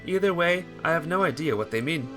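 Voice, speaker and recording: Casting: male streamer, lively intonation, casual chat plus story read-aloud, music bed. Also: fairly high pitch, a little fast. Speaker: game streamer reading a visual novel aloud. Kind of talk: casual livestream chat mixed with expressive read-aloud narration.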